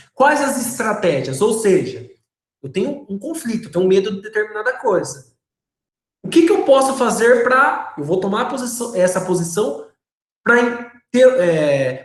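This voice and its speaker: Patient Brazilian teacher in an echoey room. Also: male voice, sits high. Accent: Brazilian Portuguese. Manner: patient, checks in often with short tags. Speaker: religious education teacher. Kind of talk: online class lesson. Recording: roomy echoey room sound.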